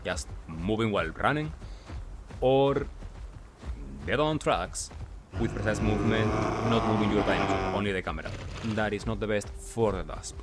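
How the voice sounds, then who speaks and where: A man giving casual, explanatory gameplay commentary, halting and correcting himself as he reasons through his points. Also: fairly low pitch, loud music behind the voice. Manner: halting, self-correcting, asks and answers own questions. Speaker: gamer, video commentator.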